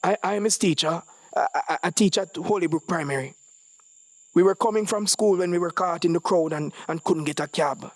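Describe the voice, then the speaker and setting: A man's voice: high voice, normal speed, no echo, pitched above the performer's own voice. Man playing a stammering, frightened young man. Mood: nervous, pleading. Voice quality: hoarse. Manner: stammering, hesitant. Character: frightened young man bluffing politely as a schoolteacher. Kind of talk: short story reading.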